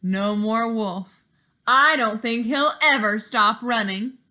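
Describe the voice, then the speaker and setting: A woman voicing a brave male woodcutter in a storybook, firm and steady. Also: a little high, slow pace. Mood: reassuring.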